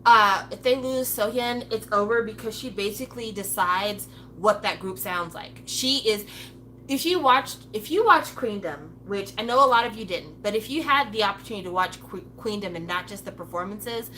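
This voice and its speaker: A woman talks in a loose, unscripted monologue, blunt and swearing freely. She slips into lively impressions of other people as she goes. Her tone is bright and forceful, with a faint hum underneath. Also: medium pitch, normal speed, low-quality recording. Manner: acts out playful voices of others. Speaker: commentator. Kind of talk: casual opinion monologue.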